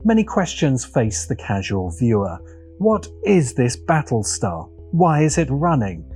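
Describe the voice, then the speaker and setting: A man presents a scripted video essay in a soft, mellow tone. His delivery is deliberate and dramatic, with very varied intonation and measured pauses. Music plays underneath him. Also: mid-range voice, sing-song, husky. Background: background music.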